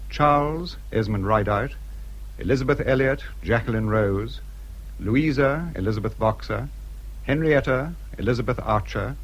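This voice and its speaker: A British man reading scripted radio credits as an announcer, in a measured, formal manner. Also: medium pitch, slightly rough, low-quality recording.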